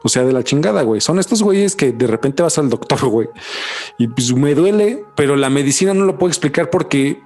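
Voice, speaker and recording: A man's voice: on the high side, expressive, a little fast, a little mellow, faint music behind. A man with a Mexican Spanish accent hosting a podcast, talking casually with a lot of slang and swearing. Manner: slangy, swears a lot, says "güey" constantly. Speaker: podcast host. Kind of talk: casual chat.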